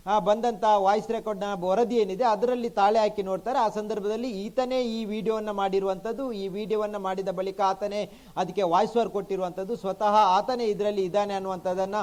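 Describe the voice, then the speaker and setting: A male field reporter speaks in a high register with a Karnataka accent. His live news report is unscripted and explanatory, circling back over phrases. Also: fairly even intonation, a little fast, a little mellow.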